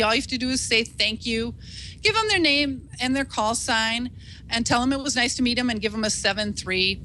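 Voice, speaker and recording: A woman's voice: fairly high pitch, pushed and bright, a little hiss or hum, low-quality recording. A woman giving an informal instructional talk in an encouraging, reassuring, conversational way, like a teacher walking beginners through the steps. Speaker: instructor, ham radio operator.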